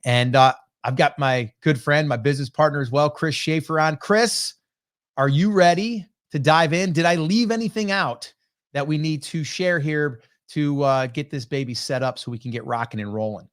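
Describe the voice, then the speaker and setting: A man in his forties hosting a casual livestream podcast, chatty and upbeat with loose fillers, his voice still slightly hoarse from a cold, speaking into a desk mic on a video call. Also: fairly high pitch, moderate pace, fairly bright tone. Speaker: podcast host.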